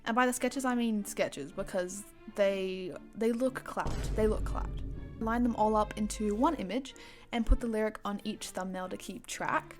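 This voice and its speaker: A woman's voice: medium pitch, unhurried, darkish tone, faint music behind. A young woman narrating her own art project in a casual, self-deprecating voice-over, often playing up the drama. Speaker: animatic creator.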